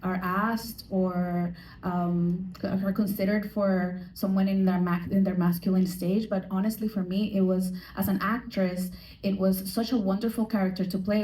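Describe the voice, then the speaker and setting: Woman interpreter, lots of ums, mellow tone, far from the mic in an echoey theater. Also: mid-range voice. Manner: hesitant, filler-heavy. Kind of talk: live interpreting on a panel. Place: theater stage at a premiere. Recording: distant echoey theater mic, faint hum.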